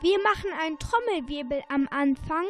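Woman playing a high-pitched, cheeky little earthworm. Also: animated, normal speed. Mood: plotting. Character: eager little earthworm, cheeky member of the gang. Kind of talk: children's radio play acting. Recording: music clearly behind the voice.

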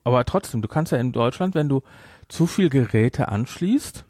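A man's voice: medium pitch, lively intonation, a little mellow, slightly rough, dry recording. German man chatting, very dry close sound. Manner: rambling, explanatory, halting with self-corrections. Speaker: podcast co-host. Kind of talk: casual film discussion chat.

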